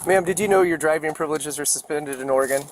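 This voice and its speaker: A man's voice: on the high side, fast talker. Firm, patient American policeman on bodycam. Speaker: police officer. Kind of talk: talking during a roadside police stop. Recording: faintly noisy outdoor bodycam audio.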